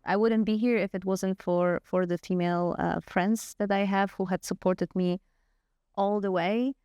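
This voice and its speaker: A woman's voice: mid-range voice, steady tone, normal speed, darkish tone, clear, resonant tone, no room sound, dull audio. A middle-aged woman from Poland with a Polish accent, speaking earnestly and frankly as an interview guest, often restarting her sentences. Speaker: guest scientist. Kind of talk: conversational interview answers.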